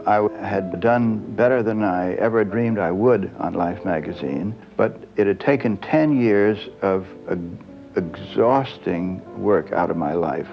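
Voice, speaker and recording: An older man reminiscing haltingly in a reflective documentary interview. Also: low-pitched, vocal fry. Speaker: former magazine journalist interviewed. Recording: dull lo-fi sound, music behind.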